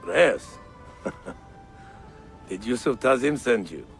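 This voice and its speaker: An actor playing an offhand, chatty local contact in a game cutscene, with a casual, wry delivery. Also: male voice, mid-range voice, sing-song, extremely slow, soft background music, exaggerated voice. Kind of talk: dramatic video game cutscene acting.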